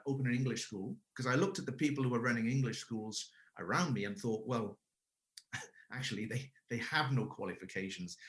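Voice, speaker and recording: A man's voice: mid-range voice, fairly bright tone. A man in his forties from Wales, a guest telling his career story casually, with frequent restarts and self-corrections. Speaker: guest, English school owner.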